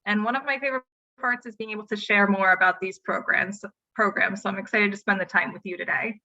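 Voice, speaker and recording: A woman with a bright, crisp voice introduces herself to a webinar audience. She sounds upbeat and polite. Her audio comes through a muffled, lo-fi video call. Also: mid-range voice, fairly even intonation, quick pace. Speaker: admissions officer.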